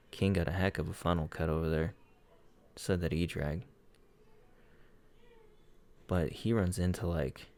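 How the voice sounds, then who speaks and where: A man giving a relaxed, conversational breakdown of game attacks as he watches them play, his voice hoarse and going out. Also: deep voice. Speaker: clan player reviewing war attacks. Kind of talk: casual gameplay commentary.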